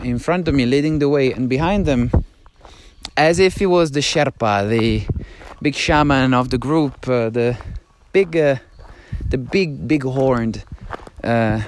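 A man from Italy with an Italian accent, talking as he walks down a mountain trail, halting and musing, sometimes dropping to a hushed, awed voice. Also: medium pitch, a little hiss or hum. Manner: musing, halting, drifts between hushed awe and excited chatter. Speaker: podcast host. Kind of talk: casual walking monologue.

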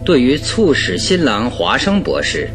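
A courteous, formal male detective in a radio play. He speaks deliberately, with animated rises and falls, over clearly audible music. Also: medium pitch. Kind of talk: radio drama acting.

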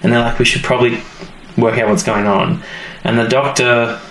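A man's voice: mid-range voice, level tone, normal speed, a bit bright. A man co-hosting a podcast, recapping a story in casual, informal chat and acting out bits of dialogue. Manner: informal storytelling, acts out quotes. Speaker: podcast co-host. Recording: roomy echo, faint background noise.

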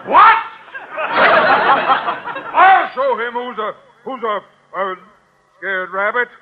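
An American actor playing a pompous, high-strung, middle-aged school principal who blusters indignantly in an old-time radio sitcom. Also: male voice, sing-song, takes it slowly, a loud crowd, heavily acted. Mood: outraged blustering. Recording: tinny, noisy vintage radio recording.

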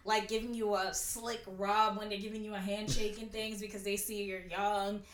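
A young woman in her twenties, a prosecutor, talking loudly and outspokenly in a casual interview. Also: medium pitch, level tone, moderate pace, crowd noise. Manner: loud, outspoken. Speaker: guest, prosecutor. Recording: roomy echo.

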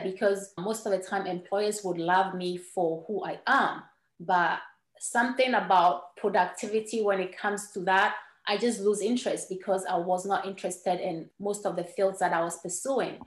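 A woman's voice: medium pitch, normal speed. Ghanaian-born woman guest, ewe first language, earnest storytelling, roomy echo. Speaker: guest entrepreneur. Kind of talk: podcast interview storytelling.

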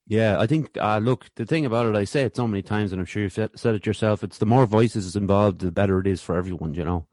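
A man's voice: fairly low pitch, quick pace, few pauses, a little mellow, no room sound. An Irish man chatting casually as the host of a podcast interview.

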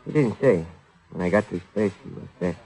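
Gravelly, sullen wounded crook. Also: male voice, sits low, animated, brisk, warm tone, heavily acted. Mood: sullen, weak. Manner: weak, terse, grudging. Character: sullen wounded male crook in a hospital bed. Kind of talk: 1940s radio crime drama acting.

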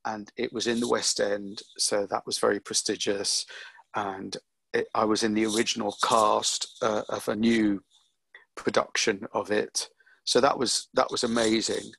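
A middle-aged man answering interview questions about his acting career, reflective and unhurried, with frequent ums and restarts. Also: lowish voice, flat delivery, vocal fry, fairly bright tone, husky, dry recording. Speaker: actor being interviewed.

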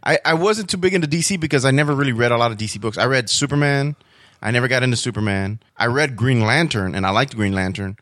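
Man, average pitch, casual slangy chat, halting reminiscence, very dry recording. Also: a bit bright, no room sound. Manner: informal, slangy, halting recall.

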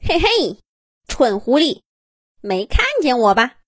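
A woman's voice: on the high side, very animated, takes it slowly, no room sound, clean studio sound, full character voice. A woman voicing a small, mischievous lemming in a children's story, smug and mocking. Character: smug, giggly little lemming, sly and mischievous.

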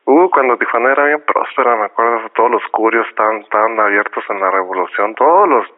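A man's voice: lowish voice, fast. A man from Costa Rica hosting a casual chat, full of slang and laughter, acting out the exchanges he retells. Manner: slangy, laughs often, acts out quoted exchanges. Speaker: podcast host. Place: Tijuana. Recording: phone-like narrow audio.

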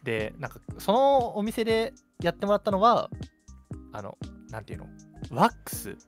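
A man's voice: high-pitched, sing-song, normal speed. A man in his twenties chatting casually as a radio co-host. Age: 20s. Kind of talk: casual chat. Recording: music playing behind the talk. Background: music.

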